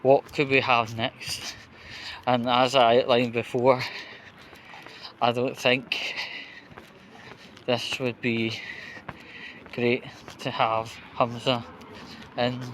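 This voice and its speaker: A man giving off-the-cuff political commentary outdoors on a busy street, halting and emphatic, often repeating words. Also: medium pitch, little pitch movement, fairly bright tone. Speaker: vlogger. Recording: noisy street ambience. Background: street noise.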